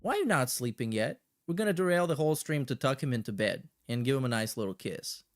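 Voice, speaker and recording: A man's voice: mid-range voice, animated, fast talker, dry recording, studio quality. A man hosting a livestream with casual, slangy, sweary commentary and a sarcastic edge. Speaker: livestream host. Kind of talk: casual chat with shout-outs and commentary.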